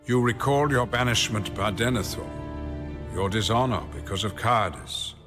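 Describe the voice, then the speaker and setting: A grave old male wizard, played by an actor, guides warriors in a fantasy game. His voice sits low, with deliberate, portentous phrasing and formal authority. Music plays clearly behind him. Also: close to an everyday voice. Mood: grave, foreboding. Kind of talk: solemn scripted game narration. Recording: voice under clear background music.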